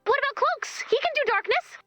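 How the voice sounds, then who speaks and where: High, perky, flustered heroine. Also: female voice, fairly quick, no room sound, faint music behind. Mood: panicked. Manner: expressive, comically dramatic. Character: perky, flustered, quirky young female game heroine. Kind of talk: animated video game voice acting.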